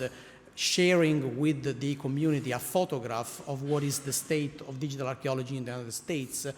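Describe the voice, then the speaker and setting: Italian-accented man in a reverberant hall. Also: mid-range voice. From Italy. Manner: frequent "uh" fillers and restarts, wry asides. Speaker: conference speaker. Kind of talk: academic conference talk. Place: large conference hall. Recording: reverberant hall, faint noise.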